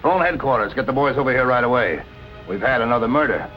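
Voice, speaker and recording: Casting: actor for male film noir detective captain, mid-pitched, authoritative, businesslike, music underneath. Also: expressive, quick pace, close to an everyday voice. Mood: grim, businesslike. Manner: matter-of-fact, authoritative. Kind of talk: 1940s film noir acting. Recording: muffled old film soundtrack, music underneath.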